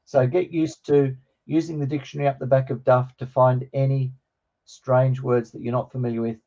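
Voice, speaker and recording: A middle-aged man with a slightly croaky voice, teaching an informal online lesson in a hesitant, explanatory way. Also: medium pitch, flat delivery, moderate pace, dark tone. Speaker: teacher of New Testament Greek. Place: online video call with screen share. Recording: dull, lo-fi online call.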